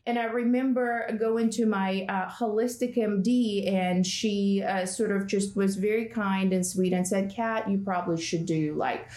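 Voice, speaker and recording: A woman's voice: mid-range voice, measured pace, few pauses, reverberant. Chatty Russian-born woman in her forties. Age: 40s. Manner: chatty, self-mocking, lively anecdotes. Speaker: podcast guest, Enneagram teacher. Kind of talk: casual conversational storytelling.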